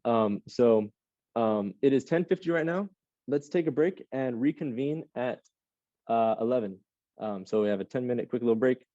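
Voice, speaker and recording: A young man teaching a live online class on computing clusters, a casual speaker who rambles with lots of ums and restarts and sounds slightly hoarse. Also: mid-range voice, moderate pace, dark tone, no room sound, muffled recording. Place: classroom with a Zoom audience.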